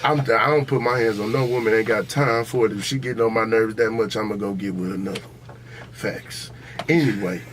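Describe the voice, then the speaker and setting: A man's voice: on the low side, fairly even intonation, a little fast, fairly bright tone. Blunt American man debating, faint noise behind. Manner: blunt, profane, repeats himself for emphasis. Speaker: podcast host. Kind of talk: casual opinionated debate.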